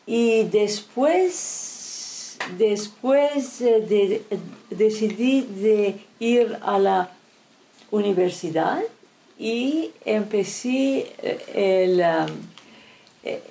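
An older woman from Turkey, whose first language is French, telling her life story in an interview, halting often and restarting her words. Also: medium pitch, vocal fry, mellow, slight background noise. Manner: halting, many restarts and fillers.

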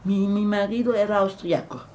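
An elderly woman with a German accent, recalling her past in an interview, halting and repeating words as she searches for them. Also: mid-range voice, darkish tone, a little hiss or hum. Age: older. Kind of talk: recounting memories in an interview.

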